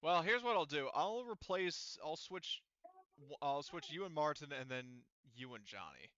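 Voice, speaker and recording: Bright, clear man running a tabletop game. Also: a little high, big pitch swings, moderate pace, dry recording, highs cut off. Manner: muttering to himself while working through game steps. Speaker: game master. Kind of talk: casual tabletop game running, thinking aloud.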